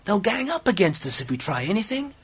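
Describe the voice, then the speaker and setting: A woman's voice: mid-range voice, big pitch swings, brisk, breathy edge. A woman playing a cautious, secretive investigator in an amateur radio play, insistent and explanatory. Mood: explaining, persuasive. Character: cautious, secretive female investigator spying on a cult.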